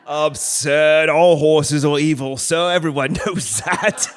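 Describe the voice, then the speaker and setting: Eager, high-voiced royal servant. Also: male voice, fairly even intonation, slow. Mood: proud, amused. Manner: deferential, earnest. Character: eager, dutiful male royal servant, proud and naive. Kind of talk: comedic stage audio play acting. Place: theatre stage before live audience.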